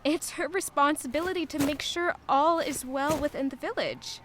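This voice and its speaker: Woman acting young royal heroine, fairly high voice, reflective, first-person audio drama narration. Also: moderate pace, background noise, lightly acted. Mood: earnest, helpful. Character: thoughtful young royal woman narrating her own story.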